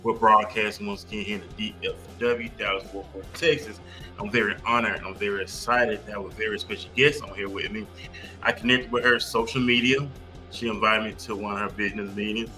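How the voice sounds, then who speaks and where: Male podcast host, forceful bright tone, effusive and emphatic delivery. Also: on the low side, steady tone, fairly quick, soft background music. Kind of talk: enthusiastic podcast hosting.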